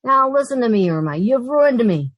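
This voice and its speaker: A woman's voice: fairly high pitch, lively intonation, moderate pace, no room sound, dull audio. A woman playing a sensible, easily exasperated young working woman in an old-time radio comedy, speaking emphatically. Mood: exasperated, scolding. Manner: emphatic, long-suffering.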